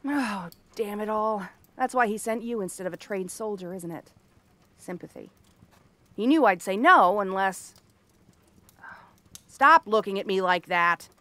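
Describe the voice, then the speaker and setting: Casting: female actor as cursed princess turned frog girl, cranky and theatrical, sharp-tongued but secretly kind, ASMR fantasy roleplay. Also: medium pitch, lively intonation, unhurried, long pauses, no echo. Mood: exasperated, softening. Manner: haughty, sardonic, theatrical tsundere delivery.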